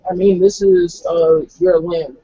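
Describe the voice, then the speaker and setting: A man's voice: high-pitched, flat delivery, measured pace, dark tone, husky, no room sound, dull audio. A man talking casually as a player in a tabletop roleplaying game.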